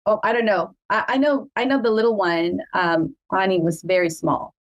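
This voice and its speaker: A woman's voice: mid-range voice, steady tone, normal speed, highs cut off. A middle-aged woman whose first language is Cebuano, hosting a podcast in a chatty, proud way and laughing often. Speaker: podcast host. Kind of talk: casual family chat.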